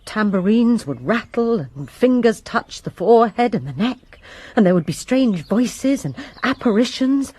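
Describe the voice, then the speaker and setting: A woman's voice: medium pitch, mellow, slightly rough, no echo. A woman playing a resentful lady's maid who gives her testimony with bitter emphasis in a radio drama.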